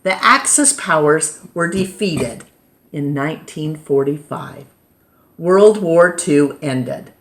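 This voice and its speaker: Low-voiced American woman reading slowly aloud. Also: lively intonation. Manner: deliberate, simple phrasing with pauses between short phrases. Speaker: storytime reader, teacher. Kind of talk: read-aloud of a children's nonfiction book.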